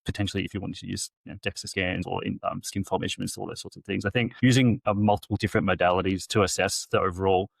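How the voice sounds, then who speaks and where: Male podcast co-host, quick and hesitant, lowish voice, dry sound. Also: little pitch movement, creaky, no room sound. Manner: rambling, hesitant, trails off quietly. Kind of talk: casual podcast chat.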